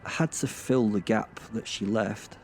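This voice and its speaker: Man acting lowish, rambling sardonic werewolf narrator. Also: darkish tone. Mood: uneasy. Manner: rambling, halting, self-mocking, theatrical emphasis. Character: sardonic, foul-mouthed, awkward male werewolf narrator. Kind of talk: dramatic first-person horror-comedy narration. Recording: clear background ambient noise.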